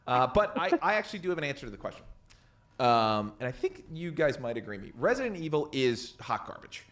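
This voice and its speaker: A man's voice: mid-range voice, big pitch swings, fast, fairly bright tone. A man co-hosting a casual podcast, giving emphatic, opinionated takes with some swearing. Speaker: podcast co-host. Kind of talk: casual chat, pop culture opinions.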